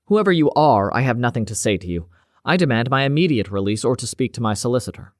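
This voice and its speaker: A man's voice: mid-range voice, expressive, fast, no room sound, studio quality, voice pushed up, natural voice. A man playing a bullying woman scientist. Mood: angry. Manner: indignant and lecturing. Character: stocky, bullying middle-aged woman scientist.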